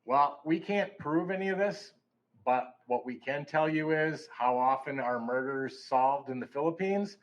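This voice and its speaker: A man's voice: medium pitch, measured pace, muffled recording. A man, a paranormal investigator, recounting events in a loose, rambling way during casual podcast chat.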